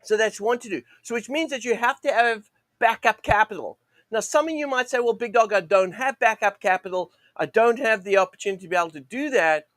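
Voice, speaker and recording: A man with a high, croaky voice, strained from a sore throat, hosts a live market show. He rambles on through fillers and false starts, leaning on the same stock phrases. The sound is close and dry, with no room around it. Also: a little fast, fairly bright tone, no room sound. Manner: rambling, many fillers and restarts, "go ahead and". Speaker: host of a live forex trading show. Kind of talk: live-stream teaching monologue.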